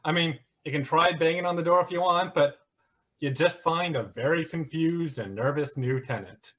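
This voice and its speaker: A man's voice: a little high, moderate pace, a bit bright. A man playing a smug, flippant internet conspiracy grifter who mocks theatrically in a one-act play. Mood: smug, dismissive. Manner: sarcastic, mocking, theatrical.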